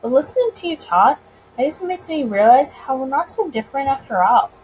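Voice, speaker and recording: Woman acting as male detective, earnest, hesitant, easily flustered, high voice, visual novel, faint noise. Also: expressive, a little fast, a little mellow, poor audio.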